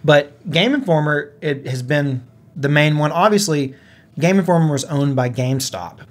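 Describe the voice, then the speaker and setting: A man's voice: on the high side, moderate pace. A man co-hosting a gaming podcast, talking in a casual, conversational style. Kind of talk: casual chat.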